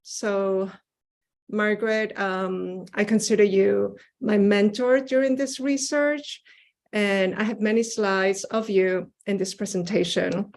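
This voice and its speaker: A woman's voice: mid-range voice, steady tone, takes it slowly, a little mellow. Earnest woman artist from Ecuador presenting. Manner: earnest, with frequent "uh" and "um" fillers. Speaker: guest artist presenting her work. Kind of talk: online seminar presentation with slides.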